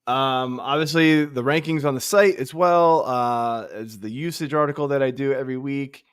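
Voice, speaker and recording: A man's voice: medium pitch, normal speed, smooth, no echo. A man in his thirties, a guest analyst on a sports podcast, talking casually and rambling with lots of "I mean.". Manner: rambling, full of restarts and "I mean". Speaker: guest fantasy football analyst.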